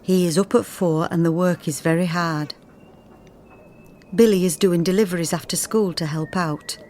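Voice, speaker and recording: A woman's voice: on the low side, fairly even intonation, moderate pace, mellow, a little hiss or hum, lightly acted. An actress playing a homely, wistful working mother of the 1940s reading out her letter in a radio drama. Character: plain-spoken 1940s working mother writing a letter home.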